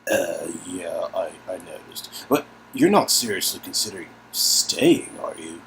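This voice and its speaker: A man playing a bewildered, concerned young hero in a game reading, earnest, halting and stammering. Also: low-pitched, expressive, vocal fry, a bit bright, slight background noise, heavily acted. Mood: hesitant.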